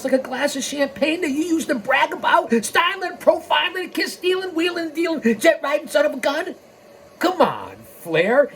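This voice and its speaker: A man's voice: extremely high pitch, a bit bright, slight background noise. An American man in his sixties hosting a podcast, reading aloud and breaking off into emphatic, rambling commentary addressed straight at people. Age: 60s. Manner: emphatic, rambling, with sidetracks and direct appeals. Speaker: podcast host.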